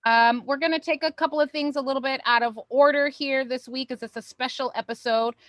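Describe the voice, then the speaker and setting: A woman's voice: high-pitched, steady tone, a little fast, no room sound. A woman co-hosting a theatre company's online show, giving casual, upbeat announcements to viewers in an informal way.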